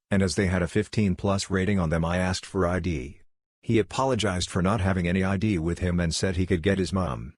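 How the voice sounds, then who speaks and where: A man reading online forum posts aloud in a plain, even manner. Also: low voice, breathy edge, no room sound, studio quality.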